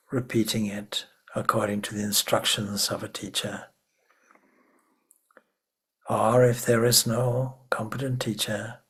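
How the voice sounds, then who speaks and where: Slow, breathy man guiding meditation. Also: on the low side. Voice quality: breathy, husky. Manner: hushed, measured, with long pauses. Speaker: meditation teacher, swami. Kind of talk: guided meditation.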